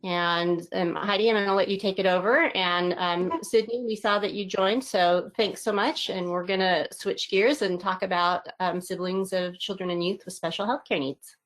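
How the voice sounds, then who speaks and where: A woman facilitating an online meeting, giving brisk, appreciative acknowledgments over a video call. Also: mid-range voice, steady tone.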